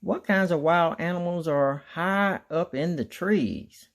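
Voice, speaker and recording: A man narrating a children's picture book aloud in an expressive storytelling style. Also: on the high side, slow. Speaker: storybook reader.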